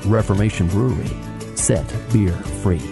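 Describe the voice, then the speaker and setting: Low male advert announcer over music. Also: unhurried, gravelly. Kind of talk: scripted radio advert narration.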